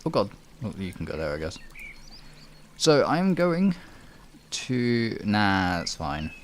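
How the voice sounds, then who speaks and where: A man's voice: lowish voice, big pitch swings, slow pace, a little hiss or hum. A man hosting a livestream, chatting casually and swearing freely. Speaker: livestream host.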